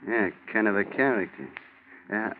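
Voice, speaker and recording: A man's voice: fairly low pitch, normal speed, phone-quality sound, lightly acted. An actor playing a scheming, guarded male crook who talks tersely and cagily in a 1940s radio drama. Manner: terse, cagey. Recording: thin, noisy 1940s radio recording.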